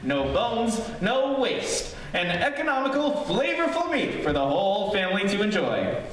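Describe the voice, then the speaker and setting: A man's voice: sits high, sing-song, moderate pace, fairly bright tone. A man announcing in 1940s radio style, reading sponsor copy and credits. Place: live student stage performance of a radio show. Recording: echoey, distant room sound with noise.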